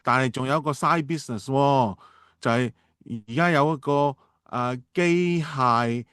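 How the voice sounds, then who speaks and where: A man playing a halting but persuasive businessman in a radio drama. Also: mid-range voice, a bit bright, clean tone, no echo, clean studio sound, lightly acted. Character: smooth-talking businessman asking a partner for urgent money. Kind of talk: amateur Cantonese radio drama dialogue.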